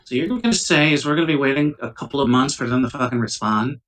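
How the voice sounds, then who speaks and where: A man with a bright, forceful voice sits some way from the microphone on a rough laptop recording. He tosses casual, sardonic, sweary wisecracks into a tabletop roleplaying session. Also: quick pace. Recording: distant mic, low-quality laptop audio.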